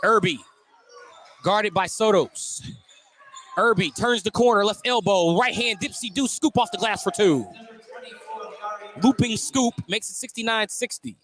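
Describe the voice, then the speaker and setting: An American man doing live radio play-by-play of basketball, with clipped running descriptions and drawn-out calls on baskets. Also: high voice, fairly bright tone. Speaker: play-by-play commentator. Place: courtside in a basketball gym. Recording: some noise, gym ambience.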